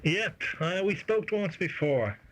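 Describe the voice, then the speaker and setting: A man's voice: high voice, lively intonation, slow, background noise. An Irish man working as a music journalist, interviewing someone conversationally over the phone. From Ireland. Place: on a phone call.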